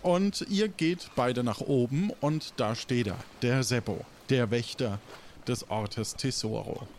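Halting male game master, faint noise. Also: medium pitch. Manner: halting, casual. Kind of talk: improvised adventure narration.

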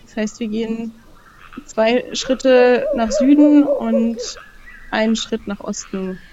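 Female role-play participant, fairly high voice, first-person move calls, noticeable background noise. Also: slow, lo-fi sound. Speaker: player in a role-playing game. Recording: clearly audible background noise.

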